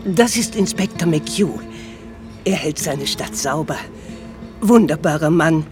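Prim townswoman, slow and lilting. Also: low voice, animated, darkish tone, soft background music. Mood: polite, admiring. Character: polite, admiring townswoman, Miss Pemberton. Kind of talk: radio drama acting.

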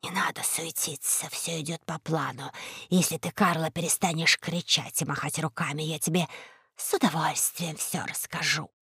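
A woman voicing a sly, calculating male rope creature with a quiet, rustling voice in a children's story. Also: sits low, fairly even intonation, moderate pace, gravelly, slightly rough, dry recording, studio quality, pitched below the performer's own voice, exaggerated voice. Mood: calm, scheming. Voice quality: hushed, rustling.

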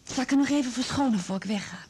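An actress playing a worried older mother in a Dutch radio drama, blunt yet apologetic, with a breathy, halting, naturalistic delivery. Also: sits high, a little mellow. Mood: apologetic, subdued. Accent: Dutch (Netherlands). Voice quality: breathy, husky.

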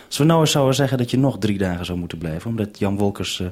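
A man hosts a live radio interview. His voice sits a little low and has a rough, husky grain. He speaks as an interviewer addressing a guest and listeners. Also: lively intonation, fast talker, darkish tone.

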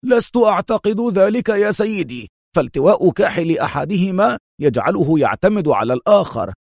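Very high-pitched confident tracker. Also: male voice, no echo, clean studio sound. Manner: assured, calm, deferential.

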